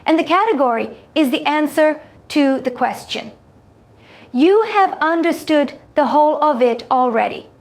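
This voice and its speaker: A woman playing a calm, exacting alien trader in a science fiction story, speaking formally with careful, deliberate phrasing. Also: sits high. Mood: grave, measured.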